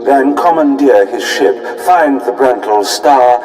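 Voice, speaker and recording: Smug, animated military commander. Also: male voice, medium pitch, takes it slowly, soft background music, rough recording. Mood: menacing, commanding. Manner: pompous, self-satisfied. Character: smug, menacing male imperial fleet commander. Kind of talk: video game character acting.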